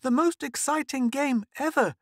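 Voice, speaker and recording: Man doing a squeaky, commanding seven-year-old girl, storybook reading, very high. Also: moderate pace, no echo, studio quality. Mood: excited. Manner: breathless, commanding.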